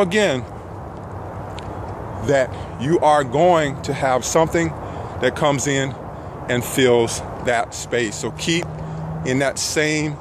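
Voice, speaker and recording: A middle-aged man giving an earnest motivational talk in measured phrases with frequent pauses, walking outdoors with a phone at arm's length. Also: mid-range voice. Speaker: self-help vlogger. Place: outdoors on a path among trees. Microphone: phone held at arm's length. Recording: noisy outdoor phone recording, traffic ambience.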